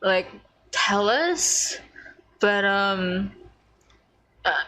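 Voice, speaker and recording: A woman giving casual live gameplay commentary as a streamer, halting as she thinks aloud. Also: medium pitch, lively intonation, pushed and bright. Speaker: game streamer.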